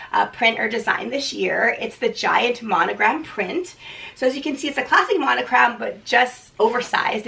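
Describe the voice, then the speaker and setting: A hoarse woman in her thirties talks her viewers through an unboxing, relaxed and chatty with plenty of "um"s. Her voice is bright and slightly high, with a gravelly edge. She sits a bit back from the microphone. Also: far from the mic. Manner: chatty, many restarts and "um"s. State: hoarse from a cold. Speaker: vlogger. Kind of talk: casual unboxing vlog.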